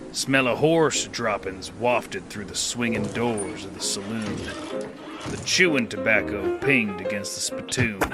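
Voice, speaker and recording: An actor playing a weary gunslinger who narrates his tale in a dry, laconic drawl. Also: lowish voice. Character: weary male gunslinger, laconic and world-worn. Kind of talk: first-person narration.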